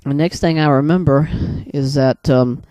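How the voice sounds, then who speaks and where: A man casually recounting a dream in the first person, hesitant and rambling, often pausing mid-sentence. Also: a little high, fairly even intonation, mellow, dry recording, highs cut off.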